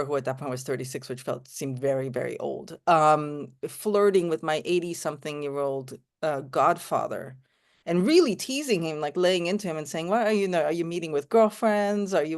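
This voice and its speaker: A woman's voice: lowish voice, a little mellow. A woman born in Romania, raised in Canada, speaking in a casual panel discussion and telling personal stories with many restarts. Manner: thoughtful, storytelling, frequent "you know" and restarts.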